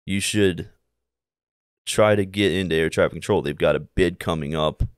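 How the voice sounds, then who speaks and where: Young American male, 20s, podcast guest. Very low, smooth voice, rambling anecdotal delivery. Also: no echo, studio quality. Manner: halting, rambling, repeats himself while telling anecdotes. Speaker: podcast guest, air traffic controller. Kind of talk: casual interview storytelling. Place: small indoor room, seated. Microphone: close podcast mic on boom arm.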